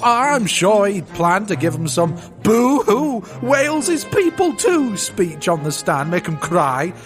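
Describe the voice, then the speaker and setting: An actor playing an arrogant, gruff Gilded Age tycoon villain in a comedic period audio drama, sneering and bombastic in slangy talk. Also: male voice, sits high, big pitch swings, takes it slowly, soft background music, exaggerated voice. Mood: impatient, scheming.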